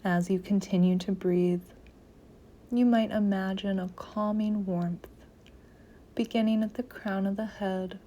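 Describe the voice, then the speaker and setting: A woman leading a guided meditation in a soft, gentle, soothing voice, with phrases often trailing into near-whispers. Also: mid-range voice, fairly even intonation, a little slow, highs cut off.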